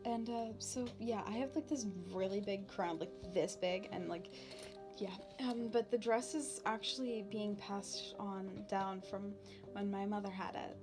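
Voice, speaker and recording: A woman plays a shy, excitable young demon princess in an ASMR roleplay. Her mid-pitched voice moves slowly and haltingly, in casual, hesitant phrases with long pauses. Music plays clearly behind her. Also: natural voice. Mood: chatty, excited. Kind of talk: ASMR roleplay addressed to the listener. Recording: background music.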